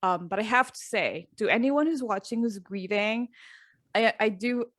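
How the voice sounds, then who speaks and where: A woman's voice: mid-range voice, moderate pace, fairly bright tone, dry recording. An earnest, middle-aged woman from the Philippines speaks as a guest coach over a video call. She tells her life story openly, restarting phrases as she goes. Her voice is close and dry, with no room sound. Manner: earnest, open, with frequent fillers and restarts. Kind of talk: conversational interview storytelling.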